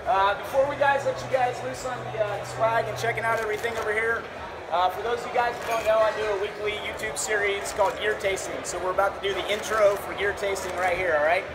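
A man with a hoarse voice addressing a crowd at a meet and greet. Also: high voice, steady tone, fast, very noisy. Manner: loud, rallying. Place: crowded store at an event.

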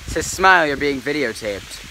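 A man's voice: fairly high pitch, expressive, a little fast, background noise. A teenage boy narrating a casual vlog about his day and a hike, talking offhand with frequent ums, recorded on a phone at arm's length. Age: teens. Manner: chatty, offhand, lots of ums. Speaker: vlogger. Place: indoors at a cabin and outdoors on a forest hiking trail. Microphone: phone camera held at arm's length.

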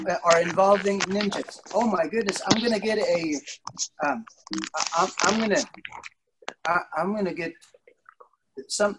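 A middle-aged man teaching a young kids' class over a video call, telling stories playfully with exaggerated surprise and drawn-out words. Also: high voice. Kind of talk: playful interactive storytelling to young children. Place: indoor studio, on a video call. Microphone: device mic at a short distance on a video call. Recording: video call with background noise and clicks.

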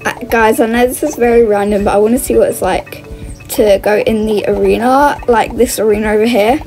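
A woman's voice: on the high side, steady tone, brisk, a little mellow, poor audio. A woman giving casual, chatty gameplay commentary, exclaiming and thinking aloud as she plays. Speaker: gaming video host. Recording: music under the voice.

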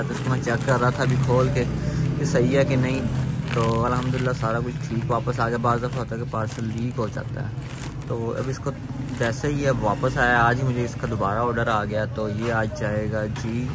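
A young man with a Pakistani Urdu accent vlogging casually, explaining things to viewers and mixing in English phrases, filmed on a phone held at arm's length. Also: medium pitch. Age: young adult. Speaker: vlogger and cosmetics shop owner. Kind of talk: casual vlog talk to camera. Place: home rooftop in sun, then a stocked shop on a road. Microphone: phone camera at arm's length. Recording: loud street and vehicle noise.

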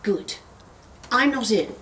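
An actress playing a panicky, foul-mouthed, middle-aged radio drama producer in a comedy soap, flustered and exasperated. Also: female voice, a little high, expressive, slow pace, a bit bright, roomy sound, slight background noise. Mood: flustered, evasive. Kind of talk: naturalistic comedy soap acting. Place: small office indoors, film camera shoot.

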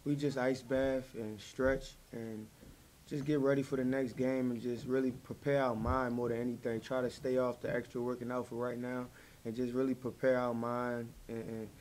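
A young man, a college basketball player, giving laid-back, low-key answers at a post-game press conference into a table mic. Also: level tone, moderate pace, a little mellow, low-quality recording. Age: young adult. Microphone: table mic in front.

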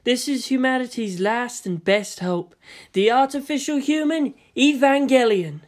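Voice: medium pitch, unhurried, voice pushed up, lightly acted. A narrator voicing a weary, formal woman scientist with a resigned manner in a read story. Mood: grave. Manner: muted, resigned.

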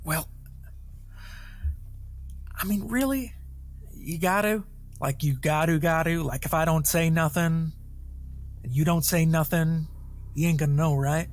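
A man's voice: fairly high pitch, measured pace, long pauses, lightly acted. A man voicing a dim, evasive male hired hand with a folksy, hesitant way of talking, in a fantasy role-play podcast. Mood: wheedling. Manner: folksy, hesitant, colloquial wheedling.

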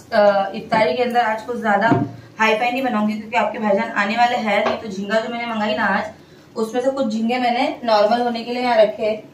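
Young woman, chatty home vlogger, slightly high voice, kitchen, faint background hiss. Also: reverberant, recorded from a distance, poor audio. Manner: chatty, talks to her baby in a sing-song coaxing way. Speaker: family vlogger. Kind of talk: casual home vlog chat.

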